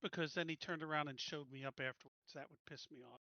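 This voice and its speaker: A man's voice: fairly high pitch, steady tone, quick pace, bright, forceful tone, no echo, dull audio. A man chatting casually as a player in a tabletop roleplaying game. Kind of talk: casual chat.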